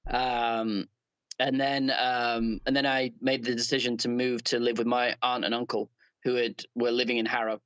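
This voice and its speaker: An English man from Leeds chatting as a guest, earnest and halting with many fillers and restarts. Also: medium pitch, flat delivery, fairly bright tone, clear, resonant tone, no room sound, rough recording. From Leeds, England. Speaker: guest player. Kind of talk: casual chat.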